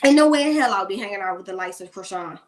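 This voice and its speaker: A woman's voice: mid-range voice, lively intonation, quick pace, a little hiss or hum. A woman in her late thirties giving blunt, sassy celebrity gossip commentary in a rambling rant. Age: 30s.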